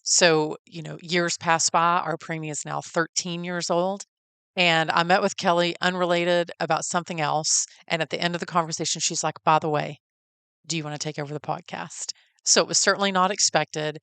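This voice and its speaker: A woman's voice: low voice, gravelly, a bit bright, clear, resonant tone, no room sound. A woman in her forties with a Texan accent, hosting a podcast and telling her own story in a friendly, folksy, heartfelt way. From Texas. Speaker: podcast host. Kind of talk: personal storytelling.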